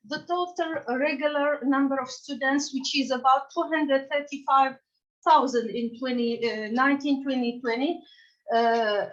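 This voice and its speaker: A woman with a Tunisian accent giving an academic conference presentation in a halting, hesitant way. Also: high-pitched, muffled recording. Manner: halting, frequent hesitations mid-phrase. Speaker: conference presenter and session co-chair.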